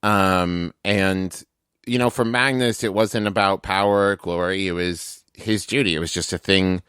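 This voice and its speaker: A man's voice: deep voice, steady tone, unhurried, a bit bright, clear, resonant tone, no room sound, studio quality. A man who is a tabletop game player, improvising character backstory in a casual, explanatory, conversational way full of filler words.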